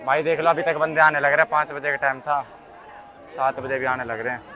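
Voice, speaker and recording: A young man chatting casually and excitably into a phone as he vlogs outdoors. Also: a little high, brisk, crowd noise. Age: young adult. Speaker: vlogger. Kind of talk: casual vlog chat. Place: outdoors on streets and grounds near a large crowd. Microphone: phone at arm's length.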